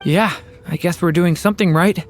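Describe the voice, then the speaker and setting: An actor voicing a young dragon boy in a video game, soft-spoken, hesitant and thoughtful. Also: medium pitch, moderate pace, faint music behind, close to an everyday voice. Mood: earnest.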